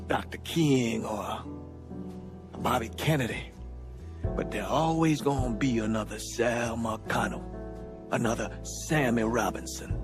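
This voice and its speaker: Older man played by an actor, unhurried weary preacher giving bitter testimony over prominent music. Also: medium pitch, close to an everyday voice. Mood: bitter, resigned. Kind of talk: documentary-style reflective testimony, acted. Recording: music bed under the voice.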